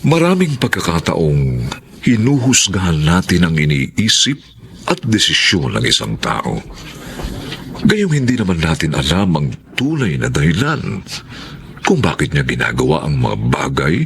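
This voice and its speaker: A Filipino man narrating a radio drama in a dramatic, scripted style. His voice is very deep and gravelly, with sweeping intonation. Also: very animated, a little slow, a bit bright, slight background noise.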